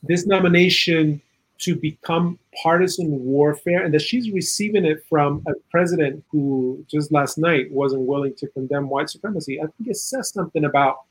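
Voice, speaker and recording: Guy from south america, kinda high husky voice, chatting politics, earnest and opinionated, says you know a lot. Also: normal speed, low-quality recording. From South America, Chile. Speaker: interview guest, political and legal commentator. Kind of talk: conversational political commentary.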